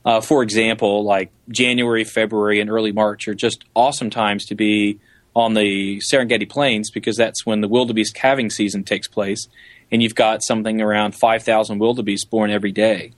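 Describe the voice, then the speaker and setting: A man's voice: fairly low pitch, flat delivery, brisk, dry recording. Enthusiastic man, dry close sound. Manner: enthusiastic, explanatory. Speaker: interview guest, safari photographer and guide. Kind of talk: conversational interview answers.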